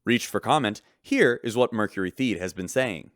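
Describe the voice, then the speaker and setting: Friendly spaceship radio news host, lowish voice, super animated, dry studio sound. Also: male voice, normal speed, no room sound. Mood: earnest newscaster. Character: affable shipboard radio newsman reporting earnestly. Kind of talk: scripted radio news narration in sci-fi audio drama.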